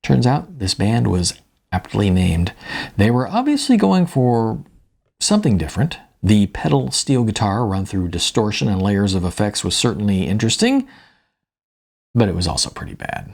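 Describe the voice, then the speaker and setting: Gravelly, lively male audiobook narrator. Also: on the low side, normal speed. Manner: wry, conversational storyteller. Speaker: author reading his own book. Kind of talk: audiobook narration with spoken asides.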